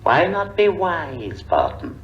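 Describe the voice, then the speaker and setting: A man's voice: on the high side, huge pitch swings, slow, highs cut off, full character voice. An actor playing a power-mad male scientist who rants grandly and drops into whispers, in an old radio drama. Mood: grandiose. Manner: grandiose ranting, with whispered passages. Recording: echoey old radio broadcast.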